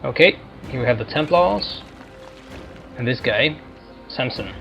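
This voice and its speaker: Slow, gravelly man over game music. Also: medium pitch, animated. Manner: musing, halting, thinking aloud. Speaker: gamer roleplaying through a video game. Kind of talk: casual let's-play commentary. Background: video game music.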